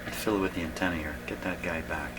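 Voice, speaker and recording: A man's voice: low-pitched, steady tone, quick pace. A man thinking aloud in short, musing fragments as he gives a casual hands-on demonstration as a repair hobbyist. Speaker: vintage radio repair hobbyist and video host. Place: indoor radio workshop. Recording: loud background hum and radio noise.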